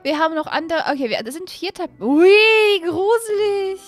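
A young woman hosting an excited play-along game commentary, gushing and repeating phrases, speaking close to a desk microphone. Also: extremely high pitch, moderate pace, dry recording, soft background music. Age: young adult. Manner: mixes in English catchphrases. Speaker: YouTube gaming host. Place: small room at home, indoors.

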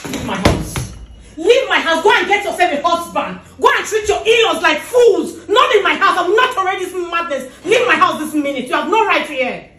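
A woman's voice: sits high, sing-song, normal speed. A woman playing a young wife who scolds her sister-in-law and orders her out of the house. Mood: furious. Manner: loud, scolding, repeats demands. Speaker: wife in a comedy skit. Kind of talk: family quarrel skit. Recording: echoey room.

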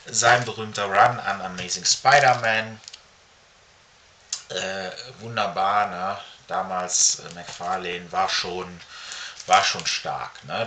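A middle-aged man talking casually to camera as a comic collector, halting often with filled pauses, recorded in a room at home. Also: on the low side, bright, forceful tone. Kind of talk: casual show-and-tell to camera. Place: small furnished room at home, indoors. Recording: faint background noise.

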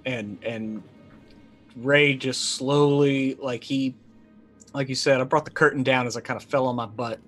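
A man's voice: medium pitch, steady tone, unhurried, fairly bright tone, faint music behind. A man in his thirties improvising as a player in a tabletop roleplaying game, speaking in hesitant, broken phrases. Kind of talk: improvised in-character roleplay and narration.

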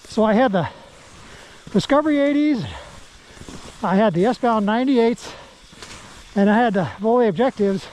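A man's voice: high-pitched, dark tone, a little hiss or hum, rough recording. A man vlogging while cross-country skiing outdoors, chatting in a casual, rambling walk-and-talk. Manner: rambling and unhurried, with restarts. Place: outdoors on a ski trail.

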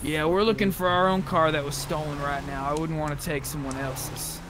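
A man's voice: on the high side, fairly even intonation, moderate pace, background noise. A man chatting casually in character during an online roleplay game. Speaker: roleplay gamer.

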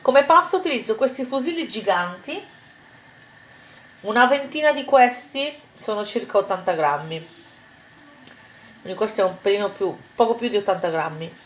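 Cooking vlogger, woman, friendly step-by-step recipe chat, average pitch. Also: animated, normal speed, long pauses, low-quality recording. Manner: chatty, step-by-step instructions to viewers. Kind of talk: casual cooking demonstration. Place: home kitchen table, indoors.

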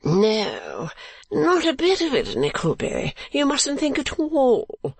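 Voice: sits high, huge pitch swings, slow, creaky, husky, no echo, pitched above the performer's own voice, heavily acted. A narrator voicing a foolish, eager young English lord in a storybook, with an affected, wheedling drawl. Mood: impatient, wheedling. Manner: drawling, affected, hushed and pleading.

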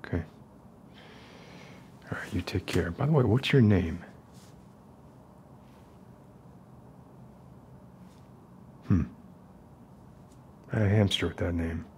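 A man acts out an unstable middle-aged survivalist captor in a soft-spoken ASMR roleplay. His voice is very low and full of gravelly fry. He rambles in hesitant reassurances, with faint noise in the basement room. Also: lively intonation, moderate pace. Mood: uneasy friendliness. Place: dim concrete basement, indoors.

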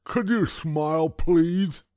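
Storybook role: a man doing a sorrowful toothless grandpa, high and strained, slow and mumbled, in a dry booth. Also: dark tone, dry recording. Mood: suspicious. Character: toothless old grandfather, gloomy and suspicious. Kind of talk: storybook character voice.

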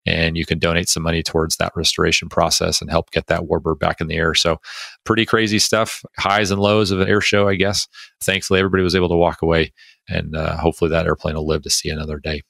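A man's voice: sits low, little pitch movement, quick pace, creaky, a bit bright, no echo, clean studio sound. A man cohosting an aviation podcast, chatty and upbeat, with a few jokes between topics. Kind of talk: podcast monologue and interview.

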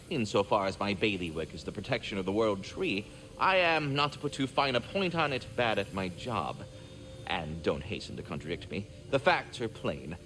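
Polite fussy talking squirrel from a video game, very wordy, pitch goes all over, faint ambience behind. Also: male voice, sharp tone, slight background noise. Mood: gloomy, self-doubting. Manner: formal, wordy, polite, theatrical. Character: courteous, fretful, erudite magical squirrel, keeper of World Tree. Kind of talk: video game character acting.

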